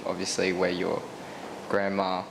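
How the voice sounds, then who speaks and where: Casting note: low-voiced man, articulate co-founder, noticeable background noise. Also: completely flat delivery, unhurried, gravelly. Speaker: brand business partner. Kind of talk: casual interview answers.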